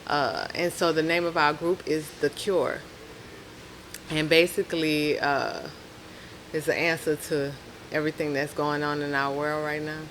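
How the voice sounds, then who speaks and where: A woman's voice: low-pitched, flat delivery, measured pace, a bit bright, a little hiss or hum, rough recording. A woman from New Orleans with the local accent, talking casually and easily as an interview guest. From New Orleans, Louisiana. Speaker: podcast guest, rapper.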